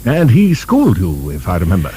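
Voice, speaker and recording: A man's voice: deep voice, wildly swooping pitch, fairly quick. An actor playing an earnest, fanciful male asylum director in a radio drama, musing and hesitant as he searches for words. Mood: troubled. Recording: soft recording with faint hum.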